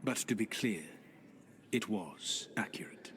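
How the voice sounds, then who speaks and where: An actor playing an ancient trickster god in a video game, speaking in a measured, formal, sardonic way with wry condescension. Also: male voice, lowish voice, animated, in a whisper. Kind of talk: video game cutscene acting.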